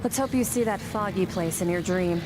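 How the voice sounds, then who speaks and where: An actress playing a stern, aloof female sword master in a video game, giving measured, cool-headed instruction. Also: on the low side, background noise, close to an everyday voice. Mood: dry, matter-of-fact. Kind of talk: scripted game voice acting. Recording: game audio under loud music.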